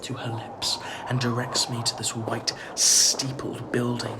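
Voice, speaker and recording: Man acting an uneasy gothic narrator, even pitch. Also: unhurried, whispered, natural voice. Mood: dread-filled narration. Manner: hesitant, faltering, apprehensive. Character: uneasy male narrator drawn into a sinister festival. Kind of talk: first-person gothic horror audio drama narration.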